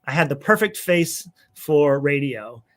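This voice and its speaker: A man chatting informally as an interview guest, rambling a little and modest about himself, with a slightly high voice for a man. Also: lively intonation, measured pace. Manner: self-deprecating, rambling, informal. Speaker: podcast guest, church executive minister and author. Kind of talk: casual conversational interview.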